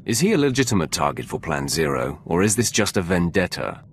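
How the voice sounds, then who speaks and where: A deep, gravelly Sith Lord. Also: male voice, very animated, a little hiss or hum. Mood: commanding. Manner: measured, authoritative, imperious. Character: powerful male Sith Lord, cool, commanding and aloof. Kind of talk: video game dialogue.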